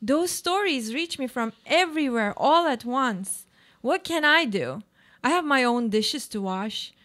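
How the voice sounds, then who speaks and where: A woman's voice: high voice, animated, unhurried, a bit bright. A woman playing a troubled, self-doubting housewife in a reflective, questioning radio play monologue. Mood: resigned, questioning.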